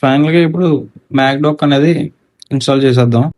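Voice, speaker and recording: A man's voice: mid-range voice, fast talker, a little mellow. Telugu man giving a casual walkthrough. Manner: mixes English technical words into talk. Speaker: motorcycle gear reviewer. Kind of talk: casual unboxing and installation walkthrough.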